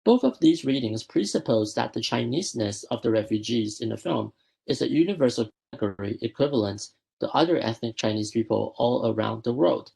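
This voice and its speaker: Gravelly young man reading an academic paper. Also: medium pitch, darkish tone. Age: young adult. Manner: measured, with frequent self-corrections. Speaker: student presenter.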